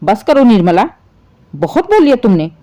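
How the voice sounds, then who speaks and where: Woman as older family patriarch, stern, exasperated, reproachful, firm delivery, mellow tone, story drama. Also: fairly high pitch, very animated, normal speed.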